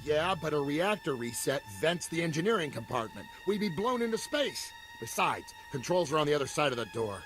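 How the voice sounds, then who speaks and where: A man's voice: on the high side, very animated, brisk, few pauses, a bit bright, soft background music. A man playing an exasperated, blunt chief engineer aboard a ship in a video game. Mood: explaining. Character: gruff, exasperated male ship's chief engineer.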